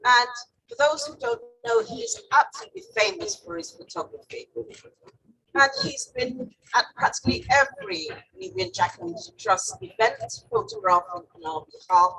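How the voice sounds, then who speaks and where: A woman's voice: on the high side, sing-song, moderate pace, pushed and bright. A woman hosting a medal ceremony, introducing each recipient with upbeat praise. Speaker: ceremony host. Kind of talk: ceremony announcing. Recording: distant mic, faint noise.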